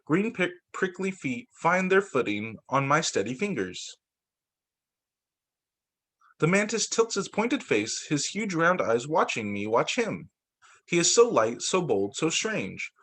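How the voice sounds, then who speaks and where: A man reading storybooks aloud as a teacher for young children, in an expressive way with the occasional stumble and re-read phrase. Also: on the high side, measured pace.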